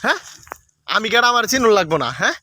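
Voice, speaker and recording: A man's voice: very high-pitched, very animated, fast talker, background noise. A man acting a pushy, lecherous village man in a comedy sketch, nagging and repetitive, with a rural Bangladeshi Bengali accent. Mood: accusing, nosy. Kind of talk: improvised comic dialogue.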